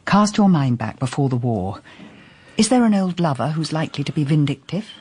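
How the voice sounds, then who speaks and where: A poised English woman detective in middle age for a radio drama. Her delivery is probing and wry, with expressive swings of pitch. There is some noise behind her. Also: brisk. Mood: gentle, insistent. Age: middle-aged. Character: shrewd, poised, sympathetic middle-aged lady detective.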